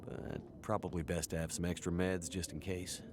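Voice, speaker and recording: An actor playing a bush pilot in a video game, matter-of-fact with a dry, wry manner. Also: male voice, sits low, little pitch movement, normal speed, noisy background, close to an everyday voice. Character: gruff bush pilot man, dry humor. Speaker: game character. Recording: game audio with loud music.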